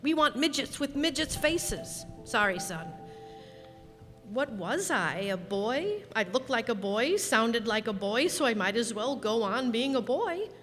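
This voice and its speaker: Woman as a wistful polite kid boy in a radio play, highish voice, hall echo, music behind. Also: sing-song, a little slow, a bit bright. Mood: wistful, confiding. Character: eternally boyish Willie, wistful, polite young boy. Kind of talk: radio drama acting.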